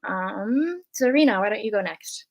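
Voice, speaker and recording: A woman's voice: medium pitch, animated, normal speed, dry recording, clean studio sound. A young woman from Canada on a gap year, introducing herself on a video-call panel in an easygoing, chatty way. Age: young adult.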